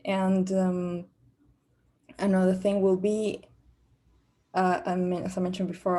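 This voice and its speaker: A young Peruvian woman with a Spanish accent answers interview questions in English, her second language. Her voice sits a little low and stays flat, with a mellow, darker tone. She hesitates often, restarting phrases and filling pauses with "uh" and "um.". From Peru. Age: young adult. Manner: hesitant, many fillers and restarts. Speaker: job candidate. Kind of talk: practice job interview.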